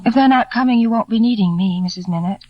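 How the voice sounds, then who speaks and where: Actress as a shy, high-voiced young woman. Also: fast talker, lightly acted. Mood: polite, modest. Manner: hesitant, apologetic. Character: shy, self-conscious plain young woman, gentle and wounded. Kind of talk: 1940s radio drama acting. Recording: dull vintage radio broadcast with music.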